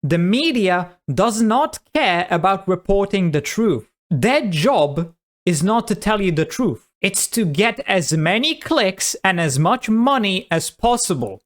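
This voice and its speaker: Blunt man giving casual reaction commentary. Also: high voice. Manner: blunt, cynical. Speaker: reaction video host.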